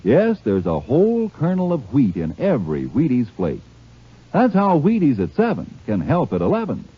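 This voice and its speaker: An American man working as a commercial announcer, delivering a 1950s radio advertising pitch. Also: wildly swooping pitch, normal speed, husky. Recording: dull vintage 1950s radio recording.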